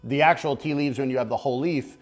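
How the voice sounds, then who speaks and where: A man's voice: mid-range voice, quick pace. A man hosting a casual tea chat, explaining at length in an easygoing way with many fillers. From United States. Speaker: host.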